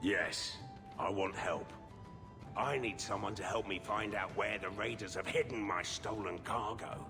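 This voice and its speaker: An actor playing a wary, grumbling former raider turned merchant in a video game. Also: male voice, lowish voice, unhurried. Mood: irritated, earnest. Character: jumpy ex-raider man turned shady merchant. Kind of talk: video game cutscene acting. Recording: long hall reverb, loud music behind.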